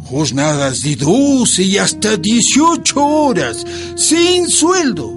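Slow, expressive high-voiced family storyteller. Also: male voice, full character voice. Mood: sorrowful. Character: grown child of a peasant family recounting its hardship. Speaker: narrator. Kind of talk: dramatised storytelling narration. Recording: music bed under the voice.

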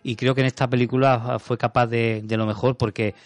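A man's voice: mid-range voice, little pitch movement, brisk, dry recording. A man on a radio show talking about a film in a thoughtful, rambling way, with many restarts. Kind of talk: casual radio chat. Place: radio studio.

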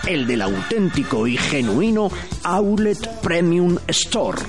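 A man with a Spanish accent announces a scripted shop advert. His delivery is slow and swooping, with big melodic movement, over prominent music. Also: fairly high pitch, very animated. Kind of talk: scripted retail radio advert.

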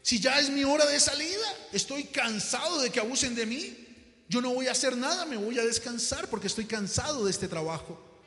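Guy doing a fed-up tired husband in a story, high and loud, super emphatic, big echoey hall. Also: lively intonation, fairly quick, full character voice. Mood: exasperated, fed up. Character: José, irritable exhausted husband and father, later desperately pleading. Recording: echoey hall, music behind.

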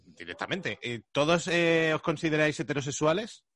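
Teasing man from Madrid, fairly high voice. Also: expressive, normal speed, a bit bright, dry recording. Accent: Madrid Spanish. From Madrid, Spain. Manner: joking, teasing, colloquial. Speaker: host. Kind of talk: casual group chat.

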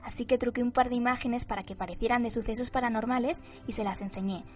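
An eager, reckless young woman acted by a woman in a radio drama. Her voice is high and girlish, with devoted insistence, over faint music. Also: fairly even intonation, fast talker, lightly acted. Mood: confident, insistent.

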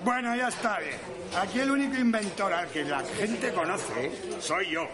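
A man's voice: sits high, expressive, normal speed, loud music behind the voice. A man playing a boastful, irritable inventor in a comic radio drama, with a busy crowd behind him. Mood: boastful, annoyed.

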